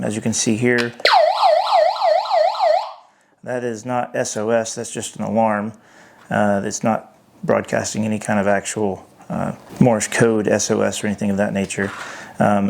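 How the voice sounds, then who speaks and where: Man reviewing gadgets with a creaky voice. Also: on the low side, darkish tone, a little hiss or hum. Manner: halting, thinking aloud. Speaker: product reviewer. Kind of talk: unscripted hands-on product review.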